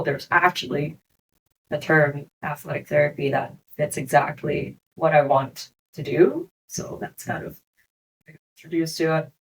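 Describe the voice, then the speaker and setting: A young adult woman from Hong Kong, speaking as a guest on a business podcast interview, reflective and unhurried, laughing often. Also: sits low, vocal fry, a little hiss or hum, low-quality recording. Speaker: clinic co-founder, guest.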